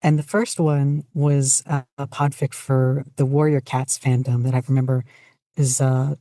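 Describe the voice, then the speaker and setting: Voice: mid-range voice, little pitch movement, a little slow, mellow, dry recording. A podcast guest chatting casually in an interview, hesitant and self-deprecating. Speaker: podcast guest, fan fiction writer and podficcer.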